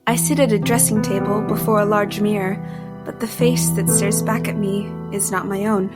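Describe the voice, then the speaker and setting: A woman's voice: mid-range voice, level tone, normal speed, over loud music, natural voice. An actress playing an earnest, troubled young woman in a period audio drama, with careful, formal, literary phrasing. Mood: tense, haunted. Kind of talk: dramatic first-person narration and period drama acting.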